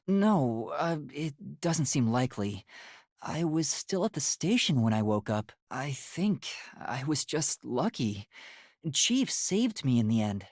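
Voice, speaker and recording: Timid, breathy young male detective. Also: on the high side, no echo, studio quality. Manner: hesitant, soft-spoken, stammering when flustered. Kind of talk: English video game voice acting.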